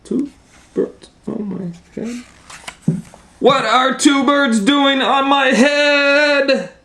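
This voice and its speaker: A man voicing an anxious, exasperated storybook elephant with loud, incredulous, wailing exclamations. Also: high-pitched, big pitch swings, measured pace, creaky, voice pushed up, heavily acted. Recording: roomy, echoey room sound.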